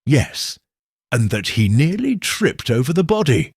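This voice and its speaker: A man voicing the detective's earnest, curious male companion, husky-voiced. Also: medium pitch, big pitch swings, a little slow, no echo, clean studio sound, full character voice.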